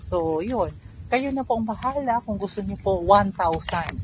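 A woman selling land in a casual, upbeat and persuasive pitch, walking outdoors on a rural dirt road. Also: expressive, measured pace, background noise. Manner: chatty, upbeat, polite, persuasive. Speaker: real estate seller.

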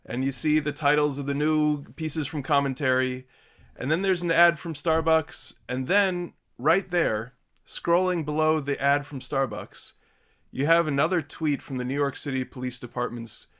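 A man in his thirties hosting a video call from a home study, giving measured, earnest opening remarks. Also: mid-range voice, fairly bright tone. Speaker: host.

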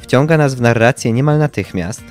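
A man's voice: medium pitch, a little fast, a little mellow, faint music behind. A young man co-hosting a podcast, giving scripted book recommendations. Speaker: podcast co-host.

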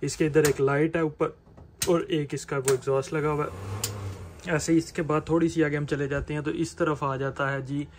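Young guy doing a casual tour vlog, highish voice, explains as he walks, some background noise. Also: normal speed. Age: young adult. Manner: explanatory, talking while walking around. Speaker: vlogger giving a tour. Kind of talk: casual walk-through tour narration. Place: outside by a camper, then inside its small interior. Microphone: phone at arm's length. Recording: handheld recording with clear background noise.

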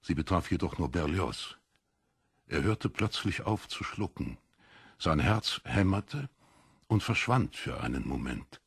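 Casting: male audiobook narrator, low and raspy, dramatic literary delivery, close dry recording. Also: sing-song, unhurried, dry recording.

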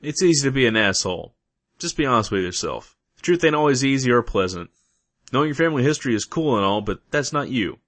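A man's voice: mid-range voice, lively intonation, fast talker, fairly bright tone. A man playing a blunt but decent, weary radio station worker in a comedy audio drama, sarcastic and sweary. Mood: encouraging.

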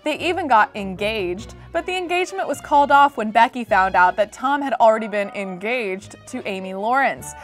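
A young American woman with a fairly high voice narrates like a storybook reader gone cheeky. She is sardonic and irreverent and happy to swear, with light music behind her. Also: expressive, normal speed, few pauses, fairly bright tone. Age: 20s. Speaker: host reading stories.

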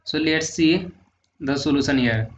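A man working through engineering numericals in a steady, methodical lecture, often adding "uh" and "sir". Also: fairly high pitch, measured pace. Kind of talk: solving numericals on handwritten notes. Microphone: voice-over on screen recording. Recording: echoey room, dull lo-fi sound, faint noise.

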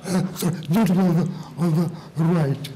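A man's voice: a little high, fairly even intonation, normal speed, mellow, slight background noise. An older man chairing a panel in a lecture theatre with brief moderating remarks into a table microphone.

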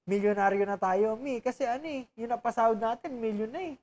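A man's voice: very high voice, brisk, a little mellow. A man, a podcast guest, speaking earnestly and motivationally in a casual chat, often addressing viewers directly as guys, recorded in a barbershop studio.

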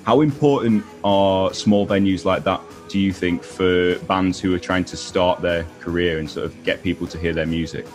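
A young man hosting a casual interview over a video call, asking relaxed conversational questions. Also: deep voice, moderate pace, few pauses, soft background music. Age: young adult. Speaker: interviewer, host. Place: indoor home room, video call.

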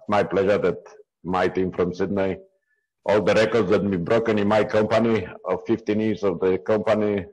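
A man in his fifties from Poland, speaking English with a Polish accent as an interview guest on a video call, with halting, non-native phrasing and frequent restarts. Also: on the low side, a little mellow, poor audio. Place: small indoor room at home. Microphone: earbud headset on a video call.

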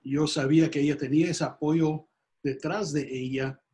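An older man from Peru with a Peruvian Spanish accent, a softball coach speaking steadily on a video call from a small room at home. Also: a little high, normal speed. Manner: steady, grows animated when making a baseball comparison. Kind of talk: interview over video call.

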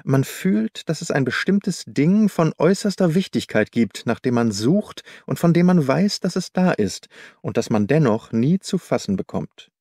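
A man reading literary audiobook narration in a measured, carefully articulated storytelling manner. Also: a little high, sing-song, mellow, no echo, clean studio sound. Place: dry studio.

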